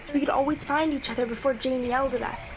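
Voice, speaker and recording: A woman's voice: high-pitched, fairly even intonation, quick pace, lightly acted. A woman playing a young girl scout alone in a radio drama, childlike and earnest, anxious but determined. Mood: lonely, earnest. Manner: childlike, earnest, self-reassuring. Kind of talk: audio drama monologue as radio transmission. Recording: clearly noisy radio transmission with ambience.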